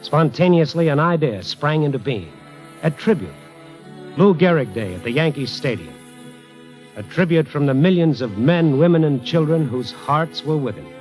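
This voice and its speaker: A man narrates with measured drama in the style of a 1940s radio play. Music plays clearly beneath his voice on an old broadcast. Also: a little high, lively intonation, a little mellow.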